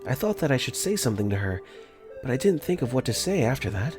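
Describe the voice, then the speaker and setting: Brief: male narrator-lead, lively pitch, earnest and flustered, first-person visual novel dub. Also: fast, music in the background.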